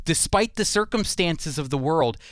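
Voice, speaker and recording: A man's voice: a little high, lively intonation, normal speed, no room sound, clean studio sound. A man hosting a radio interview, talking conversationally in an earnest, emphatic manner. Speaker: radio host.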